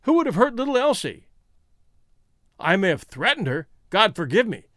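A man's voice: high voice, very animated, normal speed, a bit bright, no echo, clean studio sound, voice pushed up. A man voicing a fierce, lovesick American criminal in an audiobook story, with a bitter, blustering manner. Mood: fierce, distraught.

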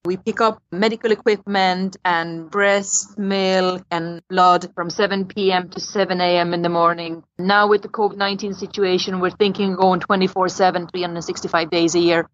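A woman who grew up in Sweden, answering interview questions in a plain, steady, matter-of-fact way. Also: lowish voice, normal speed, hardly any pauses, no echo, lo-fi sound. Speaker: dog groomer and volunteer medical courier rider.

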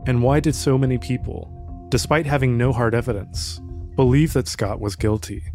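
A man reading a scripted case summary in a measured, even storytelling voice. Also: medium pitch. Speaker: podcast narrator. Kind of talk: scripted narration. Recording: music bed under voice. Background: music.

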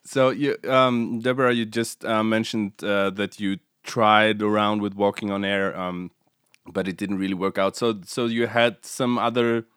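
A man conducting an informal interview, speaking in halting, hesitant phrases. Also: fairly low pitch, fairly even intonation, fairly bright tone, clear, resonant tone, no room sound, studio quality.

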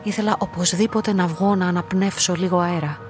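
A woman's voice: lowish voice, steady tone, close to an everyday voice. Introspective young woman played by an actress over music. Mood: reflective. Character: young lonely woman, quietly curious laboratory employee narrating her story. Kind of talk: literary radio play narration and dialogue.

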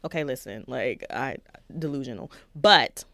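A woman in her thirties co-hosting a podcast in casual chat, soft-spoken and reflective. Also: very deep voice, lively intonation, moderate pace, vocal fry, dry recording, clean studio sound. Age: 30s. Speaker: podcast co-host.

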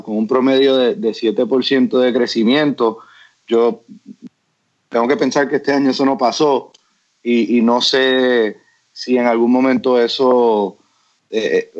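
Guest, lots of ums and restarts, kinda muffled audio. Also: male voice, medium pitch, a little mellow. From Puerto Rico. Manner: hesitant, many restarts and fillers. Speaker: business guest. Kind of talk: casual interview answers.

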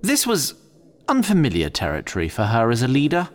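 A man's voice: mid-range voice, wildly swooping pitch, brisk. A man narrating a fantasy audio drama in a scripted storytelling style.

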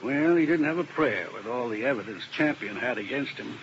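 Wistful rambling lawyer, lively pitch, muffled radio. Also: male voice, brisk, creaky, slight background noise. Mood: rueful. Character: male defense lawyer, fussy with ulcers. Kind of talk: 1950s radio drama acting. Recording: muffled old radio recording.

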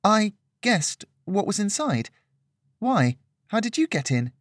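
Man voicing an eager young woman hero for a fantasy audiobook, high pleading voice, dry sound. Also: very animated, slow, no room sound, heavily acted. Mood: earnest pleading. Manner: earnest, pleading. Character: young female adventurer, earnest, eager.

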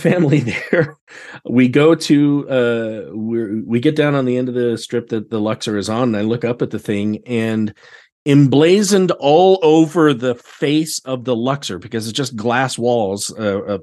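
Casting: American male podcast guest, mid-pitched voice, chatty and expressive anecdote teller. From United States. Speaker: podcast guest, theatre director. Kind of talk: casual chat, anecdotes.

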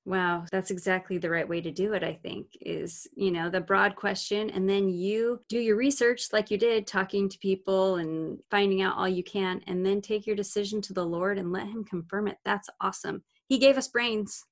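Animated woman hosting a faith chat. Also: medium pitch, normal speed, few pauses. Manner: animated, asks questions. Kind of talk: casual faith discussion.

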